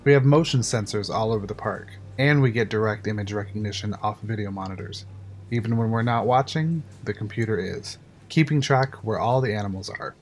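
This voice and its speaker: A man's voice: fairly low pitch, lightly acted. A man voicing a blunt, confident male park engineer explaining the control room in an audio drama. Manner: brisk, matter-of-fact, a little cursing. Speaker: voice actor. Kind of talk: fan audio drama acting.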